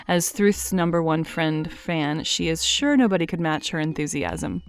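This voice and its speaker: A woman reading scripted narration for an audio drama, in a lively, expressive way. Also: sits low, unhurried, vocal fry, smooth, faint music behind.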